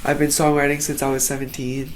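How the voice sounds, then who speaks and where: A man's voice: mid-range voice, quick pace, a little hiss or hum. A young man from Hong Kong, a singer-songwriter, talking casually in a documentary interview with lots of "like" fillers. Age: young adult. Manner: casual, relaxed, heavy use of "like" fillers.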